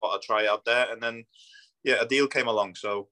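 A man's voice: medium pitch, steady tone, fairly quick, bright, forceful tone. A Polish man in his thirties, a native Polish speaker and footballer, chatting casually and matter-of-factly in an interview, his accent a mix of Polish, Scandinavian and northwest English. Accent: Polish mixed with Scandinavian and northwest English. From Poland. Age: 30s.

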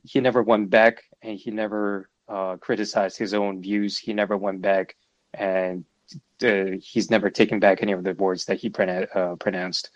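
A man whose first language is Russian hosts a solo political commentary podcast, talking in a measured, analytical way with many fillers and restarts. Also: on the low side, level tone, dry recording, muffled recording. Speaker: podcast host.

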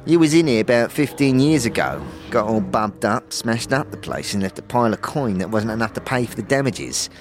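A man voicing a bored, surly, squat goblin-like barkeep in a fantasy audio drama, gruff and grumbling with streetwise slang. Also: medium pitch, animated, fairly quick, few pauses, soft background music, natural voice.

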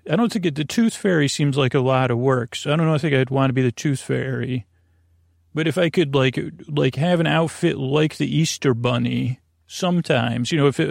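A man with a creaky voice hosting a sleep podcast, speaking in a meandering, halting bedtime monologue full of soothing tangents and mild whimsy. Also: medium pitch, no echo, clean studio sound. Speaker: podcast host.

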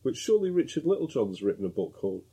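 Dry teasing British man, mellow husky voice. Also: medium pitch, sing-song, fast talker. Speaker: podcast co-host. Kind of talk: casual comic chat.

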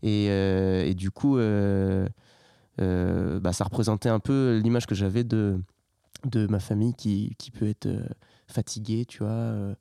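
A young man, a hip hop artist, talking in a casual interview in a soft-spoken, halting and reflective way. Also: fairly low pitch, steady tone, creaky, dry recording, clean studio sound. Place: artists' workshop.

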